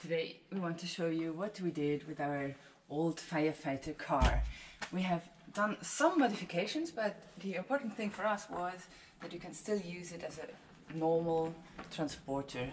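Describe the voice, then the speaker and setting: A woman in her thirties giving a casual, halting demonstration to camera, as if searching for words. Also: fairly low pitch, lively intonation. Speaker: vlogger demonstrating a camper van setup. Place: inside and beside a van in a large metal shed. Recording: clearly noisy, clattery shed recording.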